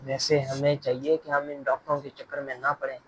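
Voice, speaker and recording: A man's voice: medium pitch, level tone, brisk, fairly bright tone, close to an everyday voice, rough recording. A man playing a self-centred younger son in a family moral short drama. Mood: scheming. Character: selfish younger adult son, scheming, later repentant. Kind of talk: Urdu moral short-film acting.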